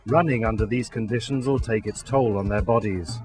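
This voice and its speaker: A man reading scripted documentary narration about a race in a steady, measured way. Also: lowish voice, soft background music.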